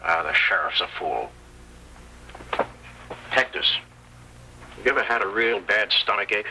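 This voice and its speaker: A sly, bossy Western outlaw, speaks in cowboy slang with big swings of pitch. The radio-drama recording is old and clearly noisy. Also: male voice, expressive, unhurried. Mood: sly, commanding. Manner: commanding, colloquial cowboy talk. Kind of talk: 1930s-40s Western radio acting.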